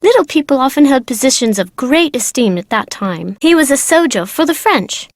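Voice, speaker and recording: A woman's voice: high-pitched, expressive, normal speed, dry recording, clean studio sound. A woman voicing a chatty, precocious young girl from an aristocratic family in a video game, with casual, slangy, teasing talk. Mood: chatty, informative. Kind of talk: video game character dialogue.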